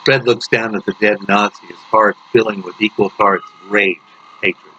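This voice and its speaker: A man reading a dark horror story aloud as its author, in a theatrical, dramatic delivery. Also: on the low side, steady tone, moderate pace. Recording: noisy, dull lo-fi home recording.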